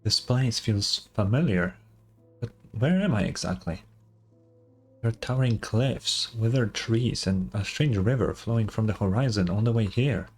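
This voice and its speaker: Male game streamer, lowish voice, reads story text aloud with casual reactions, faint music behind. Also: normal speed, husky. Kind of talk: reading game dialogue aloud, casual commentary.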